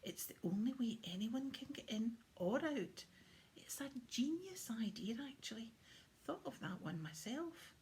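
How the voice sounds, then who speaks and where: A woman's voice: on the high side, very animated, a little slow, darkish tone. A woman voicing a jolly, proud old Santa who explains things merrily in a children's storybook. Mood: merry, boastful. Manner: merry, explanatory. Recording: faint background noise.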